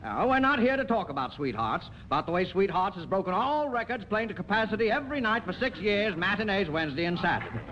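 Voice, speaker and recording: A man's voice: high voice, lively intonation, quick pace, hardly any pauses, fairly bright tone. An American man playing a wheedling, flustered showbiz promoter who stammers ingratiatingly in a 1940s radio comedy. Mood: showy, flustered. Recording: muffled, noisy 1940s radio broadcast.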